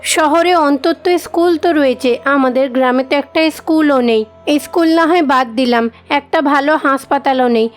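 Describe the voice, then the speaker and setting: A woman's voice: high-pitched, natural voice. A woman voicing a hardworking village woman who earnestly complains of her hardships in a cartoon story. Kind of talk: cartoon story dialogue acting. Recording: music behind the voice.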